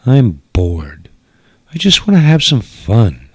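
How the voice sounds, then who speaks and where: A man's voice: medium pitch, wildly swooping pitch, slow, husky, dry recording, exaggerated voice. A man plays a teenage alien girl in a sci-fi radio play. She sounds sulky and bored, with a pouting, grudging delivery in a dry recording. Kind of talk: radio drama character voice.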